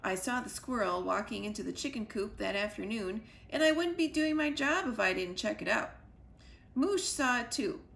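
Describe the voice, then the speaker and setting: A woman librarian reading a children's chapter book aloud, lively, jumping up in pitch for the characters. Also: moderate pace, creaky, roomy sound. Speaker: school librarian. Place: school library.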